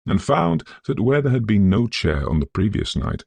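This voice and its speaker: A man reading a Victorian ghost story as an audiobook, in a measured, scholarly and atmospheric dramatic manner. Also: lowish voice, sing-song, a little mellow, dry recording. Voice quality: gravelly, husky. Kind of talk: scripted dramatic audiobook narration.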